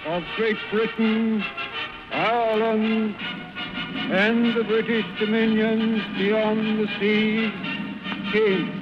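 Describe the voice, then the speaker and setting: A man's voice: high voice, extremely slow. A man making a solemn, declamatory ceremonial proclamation as an official. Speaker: official reading a royal proclamation. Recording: muffled archival radio recording with loud music.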